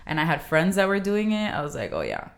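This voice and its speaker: A woman's voice: lowish voice, wildly swooping pitch, fast talker. A young woman from Miami, a podcast guest in laid-back, frank casual chat, often giggling. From Miami, Florida.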